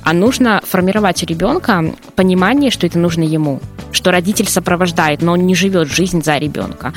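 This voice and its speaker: Lower-voiced woman giving advice. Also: fairly quick, faint music behind. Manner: earnest, advising, with frequent hesitations. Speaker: psychologist guest. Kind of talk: casual talk show conversation.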